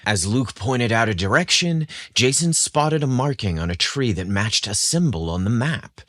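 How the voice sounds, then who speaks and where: A man's voice: medium pitch, animated, moderate pace, fairly bright tone, no room sound, studio quality. A man narrating a scripted story with dramatic expression.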